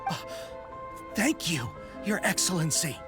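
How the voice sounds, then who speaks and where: An actor playing a proud, dutiful soldier in a video game, speaking with earnest, formal military deference. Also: male voice, fairly high pitch, sing-song, a little slow, over music. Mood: humbled, grateful. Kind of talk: scripted English video game voice acting.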